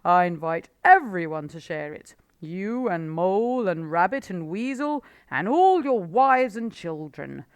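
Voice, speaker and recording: A woman voicing a sly, confident male fox who talks smoothly and persuasively in a storybook. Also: lowish voice, expressive, measured pace, hardly any pauses, dry recording.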